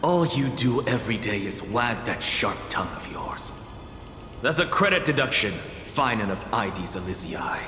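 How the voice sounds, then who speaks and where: Haughty scholar, condescending, theatrical game delivery, very animated pitch, echoing reverb over music. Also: male voice, moderate pace. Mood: haughty, irritated. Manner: pompous, condescending, withering. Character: haughty, exacting male professor and renowned scholar. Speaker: professor. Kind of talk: dramatic video game voice acting. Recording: echoey long reverb with music behind.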